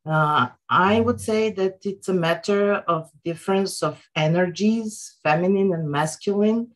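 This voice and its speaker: A woman's voice: mid-range voice, measured pace. A woman in her thirties with a Serbian accent, giving informal, reflective panel remarks with frequent hesitations on a video call. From Serbia, born in Yugoslavia. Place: indoor room at home, video call. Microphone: wired headset on a video call.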